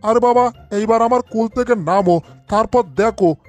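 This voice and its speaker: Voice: medium pitch, normal speed, soft background music, close to an everyday voice. A performer playing a grumbling, indignant husband in a cartoon dub, complaining in rhetorical questions. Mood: scolding. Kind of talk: Bengali cartoon dub acting.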